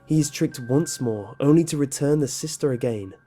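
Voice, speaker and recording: A narrator giving a steady scripted read of a countdown of dark story origins, played from a video. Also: medium pitch, moderate pace. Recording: narration with music bed.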